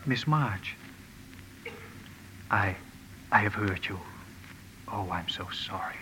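An actor playing a kindly, earnest professor in a 1950s radio drama, speaking gently and formally with deliberate phrasing. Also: male voice, fairly low pitch, expressive, a little hiss or hum, full character voice. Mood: earnest criticism. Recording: old radio broadcast, reverberant, music behind.

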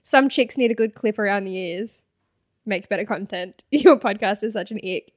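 A woman's voice: medium pitch, normal speed. A young woman co-hosting a podcast, casually reading hate comments aloud and laughing often. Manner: giggly, reads comments aloud with mock voices. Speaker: podcast co-host.

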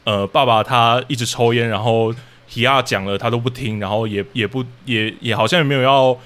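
A man's voice: mid-range voice, fairly even intonation, a little fast, a bit bright, smooth. A man with a Taiwanese Mandarin accent talking casually as an interview guest, explaining his work as a drama director analytically.

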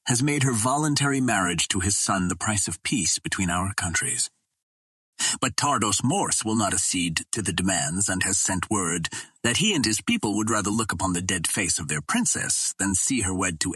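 A man's voice: lowish voice, fairly bright tone, breathy edge, no echo. A man voicing a loyal, earnest Martian warrior in an audiobook. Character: loyal Martian warrior, male, brisk and earnest, working undercover. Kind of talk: audiobook character dialogue.

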